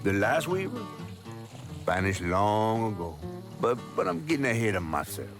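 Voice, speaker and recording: An actor playing a folksy Southern storyteller, narrating a video game folktale in a theatrical way with a Southern US accent. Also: male voice, on the low side, very animated, normal speed, music in the background. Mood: folksy, dramatic.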